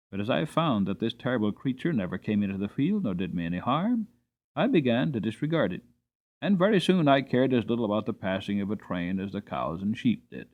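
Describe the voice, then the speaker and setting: A man's voice: on the low side, narrow, tinny audio. Man as earnest storybook horse, swooping expressively. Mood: calm, assured. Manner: dramatic, emphatic storytelling. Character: spirited, earnest male horse recalling his youth. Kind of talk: dramatic audiobook narration.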